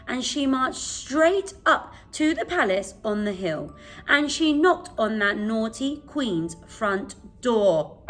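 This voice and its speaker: A woman reads a children's bedtime story expressively, with dramatic, deliberate pauses. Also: medium pitch.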